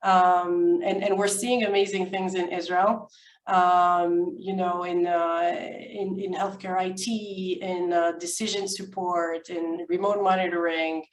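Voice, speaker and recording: A woman talks as a consultant on a webinar panel, in halting conversational phrases. She sounds roomy and set back from the microphone, with faint noise behind her. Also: on the low side, little pitch movement. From France. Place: indoor room, online webinar. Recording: echoey, distant mic, faint noise.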